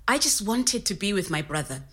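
Bright-voiced immigrant woman. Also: mid-range voice, animated, measured pace. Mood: wry, reminiscing. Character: frank, creative immigrant woman telling her story. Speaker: actor in a scripted audio story. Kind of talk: naturalistic conversational storytelling.

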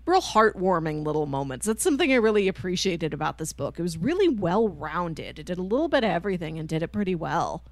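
A woman's voice: lowish voice, big pitch swings, quick pace, hardly any pauses, dry recording. A woman co-hosting a podcast, talking casually and conversationally about books. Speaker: podcast co-host. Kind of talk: casual book discussion chat.

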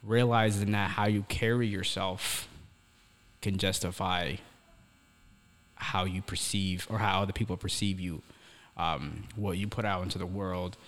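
Deep-voiced young man in echoey reverb. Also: fairly even intonation, fairly bright tone. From Somalia. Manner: earnest, philosophical, halting with repeated words. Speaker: podcast co-host. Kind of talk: casual reflective conversation.

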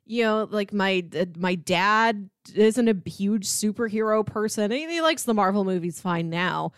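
A woman's voice: medium pitch, unhurried, clear, resonant tone, no room sound. Woman chatting casually, close dry sound. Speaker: podcast co-host. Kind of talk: casual chat about films.

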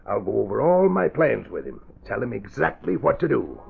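An actor playing a smug, scheming crooked political boss with a gravelly, raspy voice in an old-time radio drama. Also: male voice, mid-range voice, very animated, normal speed, slight background noise. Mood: gloating, scheming. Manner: smug, boastful. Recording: tinny band-limited old radio broadcast.

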